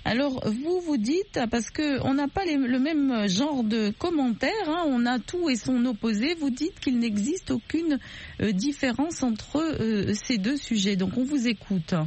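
A woman's voice: sits high, lively intonation, unhurried, a little mellow, breathy edge. A woman hosting a call-in radio show, asking probing questions and rephrasing them when unclear.

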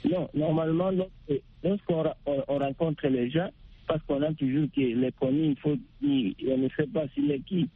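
A man's voice: fairly high pitch, lively intonation, normal speed, creaky, husky. A man calling in to a radio show, answering hesitantly and repeating words as he searches for them. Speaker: caller. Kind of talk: phone-in call. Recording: tinny phone line.

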